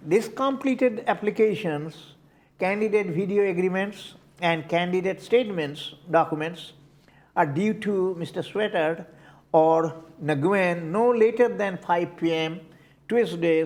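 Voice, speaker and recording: Older man with an Indian accent. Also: high-pitched. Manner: halting, repeats words while searching for them. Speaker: community board candidate. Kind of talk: interview answers.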